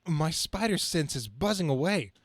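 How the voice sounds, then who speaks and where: An earnest young superhero, played by a man in a comic-book reading. He sounds anxious and boyish, a bit high-pitched, in a dry, close recording. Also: very animated, moderate pace, breathy edge, no echo. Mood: nervous.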